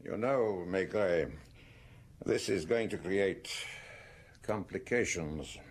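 Brusque, low-voiced country doctor. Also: male voice, slow pace, husky, heavily acted. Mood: grave, matter-of-fact. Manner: brusque, offhand, hesitating. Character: brusque country doctor, casual and matter-of-fact. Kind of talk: British radio drama acting. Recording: old radio broadcast, faint noise.

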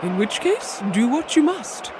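A woman acting an imperious comic-drama queen. She speaks in a measured, slow but very expressive voice, brisk in temper, with noisy ambience behind. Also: high voice.

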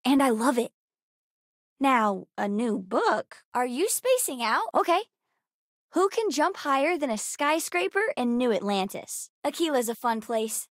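A woman voicing a bright, bookish, sassy young girl in a video game, earnest, chatty and precocious. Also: sits high, very animated, measured pace, dry recording, clean studio sound, heavily acted. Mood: cheerful, earnest. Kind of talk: video game character dialogue.

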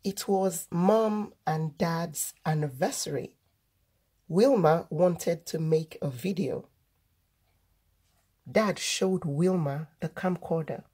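A woman reading a children's storybook aloud, deliberately, word by word, as the host of a read-aloud. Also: lowish voice, mellow, slightly rough. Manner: deliberate, word-by-word delivery for early readers.

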